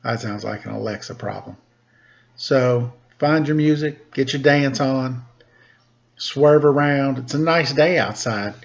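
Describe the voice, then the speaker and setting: A man with a mid-pitched voice tells stories to children. He is playful and expressive, with an encouraging manner. The sound is a dull, lo-fi home recording. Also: measured pace, creaky. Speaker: children's story reader. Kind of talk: animated storybook reading and playful chat.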